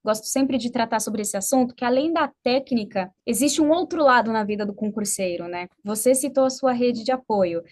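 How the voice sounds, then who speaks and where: A woman's voice: fairly high pitch, brisk, hardly any pauses. A woman with a Brazilian accent hosting an interview, speaking politely and articulately as she prompts her guest. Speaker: interview host.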